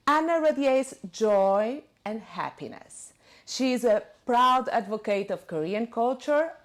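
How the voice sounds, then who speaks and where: Woman hosting an interview. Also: fairly high pitch. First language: Portuguese. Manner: measured, reads a formal introduction. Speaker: podcast host. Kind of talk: scripted intro then interview questions. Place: guest's home.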